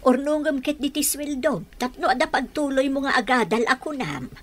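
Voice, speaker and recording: A woman with an Ilocano Filipino accent playing a gentle, thrifty middle-aged mother in a radio drama. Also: sits high, lively intonation, normal speed.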